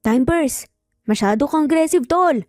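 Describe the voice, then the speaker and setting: A woman's voice: sits high, expressive, unhurried, no echo, clean studio sound, soft background music, voice pushed up. A woman voicing a bold, protective male rescuer in an audio story, firm and confrontational. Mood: cocky. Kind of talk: Tagalog audio story dialogue.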